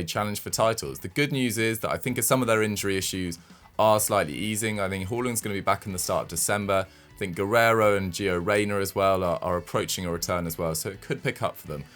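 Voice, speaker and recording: A man's voice: lowish voice, a bit bright. A man co-hosting a casual football discussion, sounding nasal because he has a cold, talking in eager run-on sentences. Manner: rapid, eager run-on talk with quick restarts. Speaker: football show co-host.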